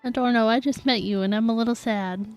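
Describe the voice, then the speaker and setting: A woman's voice: a little high, fast, smooth, lo-fi sound, faint music behind. A woman streaming a video game, reacting casually to the story and chat with giggly exclamations.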